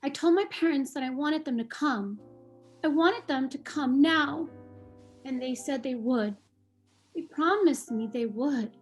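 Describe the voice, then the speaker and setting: A woman's voice: high voice, measured pace, faint music behind. A woman playing a hurt, stubborn young immigrant girl in a play table read, plaintive and insistent.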